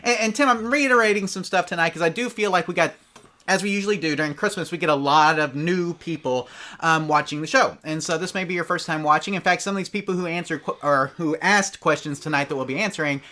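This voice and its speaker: A higher-pitched man hosting a live show, talking quickly and brightly. He keeps things upbeat and moves briskly from one viewer message to the next. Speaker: co-host and producer.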